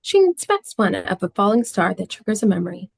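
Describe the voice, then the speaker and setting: A woman's voice: mid-range voice, very animated, a little fast, gravelly, slightly rough, no room sound. A woman reading scene descriptions and stage directions as the narrator of a script.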